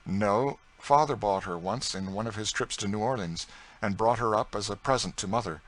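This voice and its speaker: A man voicing a good-hearted, impulsive young gentleman from Kentucky in a storybook, frank, open and assured in manner. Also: on the low side, moderate pace, voice pushed up, natural voice, rough recording. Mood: informative.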